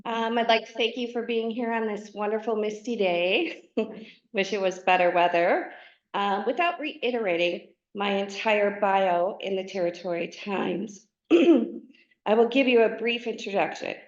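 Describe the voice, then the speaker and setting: A woman in her fifties speaks softly and haltingly from a podium. Her voice carries through a large, echoing hall. The recording has a dull, muffled sound. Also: medium pitch. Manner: soft-spoken, halting with fillers. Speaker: board candidate. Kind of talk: opening statement at a forum. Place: meeting hall. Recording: roomy, dull meeting recording.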